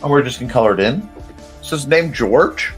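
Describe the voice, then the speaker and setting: A man with a slightly croaky, strained voice chatting casually and goofily, half to himself, as he hosts a drawing stream. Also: medium pitch, expressive, brisk, soft background music, rough recording. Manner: goofy, rambling, half talking to himself. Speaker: cartoonist hosting a live art show. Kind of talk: casual chat while drawing.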